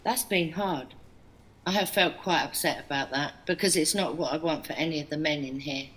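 An actress playing an earnest woman prison manager in an English audio drama, speaking in a measured, reasonable way. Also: sits low, a bit bright. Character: woman prison staff member, earnest, defensive.

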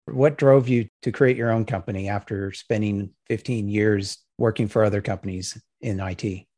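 A man hosting an interview podcast, speaking in a measured way and asking structured questions. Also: fairly low pitch, steady tone, mellow, no room sound, clean studio sound. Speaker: podcast host.

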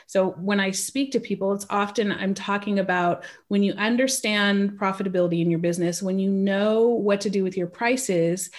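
A woman's voice: mid-range voice, steady tone, normal speed, hardly any pauses. A woman from California with an American accent, a guest in a casual interview, talking about pricing in a chatty way and often breaking into laughter.

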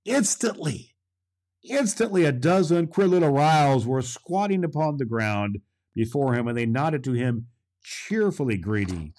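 A male storyteller reads a children's book aloud. His mid-pitched voice is highly animated, with theatrical and deliberate phrasing. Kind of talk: scripted children's story narration.

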